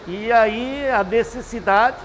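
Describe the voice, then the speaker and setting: A man, a master brewer explaining his craft in an unhurried, folksy, instructive way. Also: extremely high pitch, in a large hall, slight background noise. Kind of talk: explanatory interview answers.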